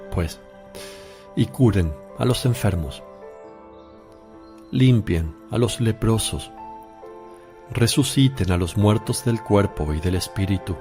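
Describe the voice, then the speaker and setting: An actor playing a solemn, authoritative religious master who instructs disciples in measured phrases in a radio drama. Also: male voice, lowish voice, darkish tone.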